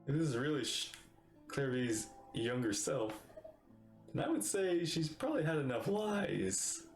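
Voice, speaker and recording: A man's voice: medium pitch, expressive, measured pace, reverberant, faint music behind. A man streaming a game, making wry, offhand remarks over a cutscene. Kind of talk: casual reaction commentary.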